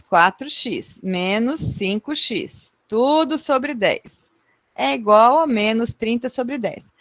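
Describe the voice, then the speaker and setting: A woman explains math problems to her class in a chatty, informal way and keeps checking that students are following. Her voice sits a little high, and the sound is dry and close. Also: fairly bright tone, no room sound. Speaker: math teacher.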